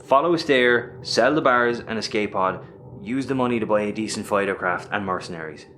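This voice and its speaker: A man's voice: on the low side, a little fast, pushed and bright, a little hiss or hum. A man with an Irish accent playing a roguish, sarcastic space captain in a sci-fi audio comedy, wry and sweary. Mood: gruffly generous.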